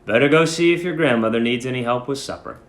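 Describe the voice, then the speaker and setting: A principled old grandfather who farms, from a storybook, acted by a man. He speaks quietly, with firm deliberation. The room adds clear echo. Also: mid-range voice, fast, lightly acted. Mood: gentle. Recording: echoey room.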